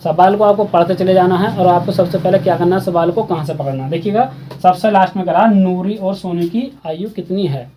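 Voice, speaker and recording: A man's voice: high voice, a little fast, mellow, slight background noise, poor audio. A man teaching a school maths exercise, speaking casually and repeating steps while asking students questions. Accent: Hindi. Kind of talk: explaining a textbook math exercise.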